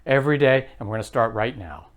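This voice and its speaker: A man's voice: medium pitch, lively intonation, quick pace, heavy background noise. A man in his late fifties hosting his own health vlog, chatty and rambling, with lots of fillers and restarts. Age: 50s. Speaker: health vlogger. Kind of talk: casual to-camera vlog.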